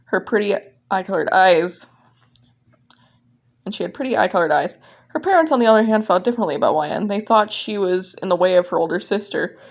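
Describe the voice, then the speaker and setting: Gravelly-voiced woman reading stories, lo-fi sound. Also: mid-range voice, expressive, a little fast. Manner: informal, interrupts own reading with commentary. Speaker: fan fiction writer and reader. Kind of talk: casual reading aloud of own stories with asides. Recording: dull, lo-fi microphone sound.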